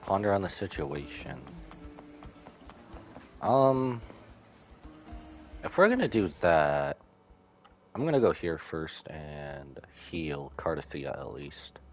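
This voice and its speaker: A man's voice: extremely low pitch, very animated, takes it slowly, long pauses. A man livestreaming a video game with casual commentary, musing aloud to himself as he plays. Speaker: livestreaming gamer. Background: game music.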